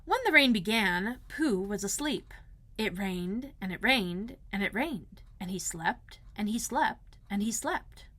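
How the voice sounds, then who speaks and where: A woman reading a children's story aloud as a librarian, expressive, with dramatic emphasis and repetition. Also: medium pitch, a little slow, dry recording.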